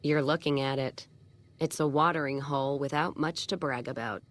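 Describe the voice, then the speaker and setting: An actress playing a tough, foul-mouthed, cynical caravan boss in a video game, blunt and sardonic with folksy frontier phrasing. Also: female voice, rumbling low, fairly even intonation, normal speed. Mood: bitter, sardonic. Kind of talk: video game character dialogue.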